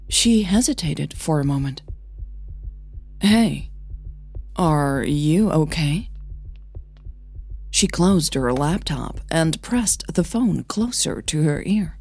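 A woman's voice: deep voice, expressive, slow, darkish tone, slightly rough. A woman narrating a fiction audio drama in a scripted storytelling style.

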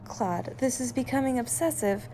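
A woman playing a caring, sensible scientist, wife and mother in an audio drama, with a gentle, earnest and expressive delivery. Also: a little high, moderate pace, a little hiss or hum, lightly acted. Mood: doubtful.

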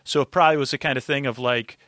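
Bright-voiced man, rambling casually. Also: medium pitch, steady tone, no room sound, studio quality. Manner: rambling, halting, stutters over words, circles back. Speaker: podcast guest. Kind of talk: casual conversation, recapping an old radio show.

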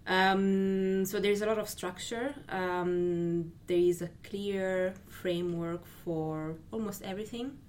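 A woman's voice: mid-range voice, fairly even intonation, darkish tone. A woman from Italy, a creative recruiter, speaking thoughtfully in a casual interview. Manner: thoughtful, measured, with fillers.

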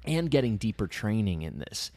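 A man's voice: lowish voice, very animated, moderate pace, darkish tone, no room sound. A man co-hosting a casual talk show, a public speaker who reflects aloud and often restarts his sentences while thinking. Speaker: podcast co-host and public speaker. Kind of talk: casual conversational chat.

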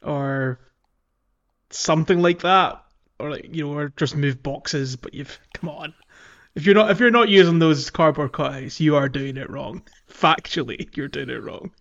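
A man's voice: fairly high pitch, measured pace, fairly bright tone. A man co-hosting a casual video game podcast, chatting informally. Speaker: podcast co-host. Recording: slightly dull lo-fi podcast audio.

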